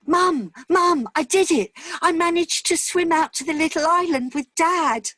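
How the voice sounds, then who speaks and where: Woman doing young girl, high voice, excited, proud, storybook character. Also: measured pace, no room sound, studio quality.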